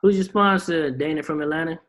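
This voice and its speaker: A man's voice: on the high side, a little fast, a bit bright. A man hosting a team video call, talking in a loose, slangy way and firing off rhetorical questions to motivate the group.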